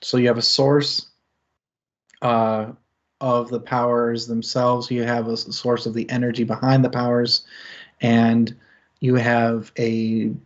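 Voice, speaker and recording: A man talking through a book in a relaxed club discussion, stopping and restarting his thoughts and chuckling now and then. His voice sits at a middling pitch. The stream audio is a little dull and lo-fi. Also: slow. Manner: rambling, lots of fillers and restarts, chuckles often. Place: home setup, streamed discussion. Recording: slightly muffled lo-fi stream audio.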